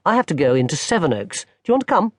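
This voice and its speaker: A man voicing a kindly, indulgent, gently amused grandmother in a children's audiobook. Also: a little high, very animated, fast, no echo, clean studio sound, pitched above the performer's own voice. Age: older.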